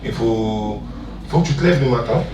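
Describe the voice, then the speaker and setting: A man's voice: medium pitch, animated, moderate pace, muffled recording, noisy background. Slangy man in a roomy hall. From Ivory Coast. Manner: slangy, emphatic, repeats phrases for stress. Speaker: music producer and entrepreneur, guest speaker. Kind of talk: informal public question-and-answer talk. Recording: roomy hall, distant mic, audience murmur.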